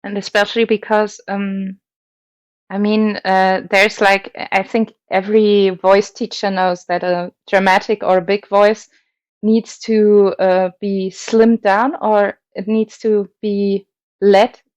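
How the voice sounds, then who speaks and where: A woman's voice: medium pitch, little pitch movement, slow, a little mellow, no room sound. Dry-voiced woman, hesitant opera guest. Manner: thoughtful, hesitant, many fillers and restarts, laughs often. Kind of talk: casual interview chat.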